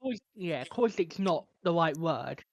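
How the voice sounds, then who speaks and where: A man's voice: a little high, expressive, measured pace. A man co-hosting a podcast in casual chat, tentatively searching for his words. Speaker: podcast co-host.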